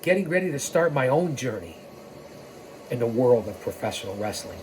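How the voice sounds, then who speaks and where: An American man in his sixties reads aloud as a podcast host, mixing the text with his own commentary. He speaks at a middling pitch and puts emphasis on his points, often wandering into asides and direct appeals. There is audible ambient noise in the recording. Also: big pitch swings, normal speed. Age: 60s. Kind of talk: reading aloud with off-the-cuff commentary.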